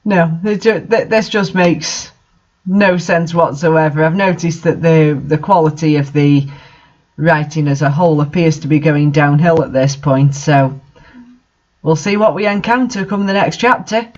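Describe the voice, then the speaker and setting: A man's voice: fairly high pitch, measured pace, lo-fi sound. A man hosting a comic reading of bad fanfiction, commenting casually in a bemused, hesitant, mocking manner.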